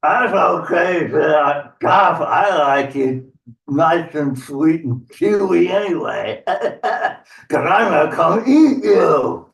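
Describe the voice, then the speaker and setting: A hungry, bullying storybook troll, acted out by a man, high and loud, stretching words into slow threats. It echoes in a room, away from the mic. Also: lively intonation, creaky, distant mic, heavily acted. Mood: gloating hunger. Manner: shouting, drawn-out threatening questions. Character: loud, menacing, hungry troll guarding his bridge.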